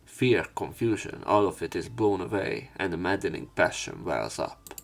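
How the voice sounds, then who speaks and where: A man reading a visual novel aloud with commentary, in a theatrical, expressive way. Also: deep voice, moderate pace, gravelly. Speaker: let's player narrating and commenting on a visual novel. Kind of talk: dramatic read-aloud with commentary.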